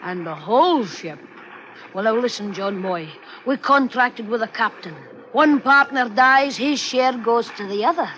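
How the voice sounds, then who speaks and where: An actor playing a sweet-talking, scheming sailor with a lilt and a coaxing, sly manner in old radio drama style. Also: male voice, extremely high pitch, expressive, measured pace, pushed and bright, exaggerated voice, low-quality recording. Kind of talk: 1950s American radio drama acting. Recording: old radio recording with noticeable noise.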